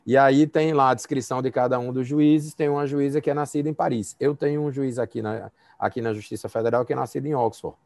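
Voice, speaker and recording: A man's voice: mid-range voice, fairly even intonation, fast, gravelly, darkish tone, no room sound, clean studio sound. A Brazilian man, a law professor, giving a conversational university lecture and feedback over a video call, with frequent fillers and restarts.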